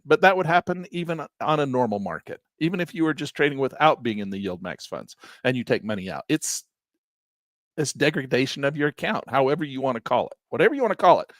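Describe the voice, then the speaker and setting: An older man teaching options trading in a live session, animated and exasperated, breaking into mocking high voices and low grumbles. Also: no echo, studio quality. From Southern Utah. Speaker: trading educator. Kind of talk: live-stream trading lesson, off-the-cuff rant.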